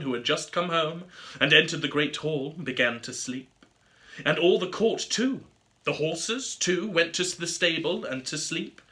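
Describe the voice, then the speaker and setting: Casting: male storybook narrator, higher register, very bright and forward tone, theatrical and expressive. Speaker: storybook reader. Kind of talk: scripted fairy tale narration.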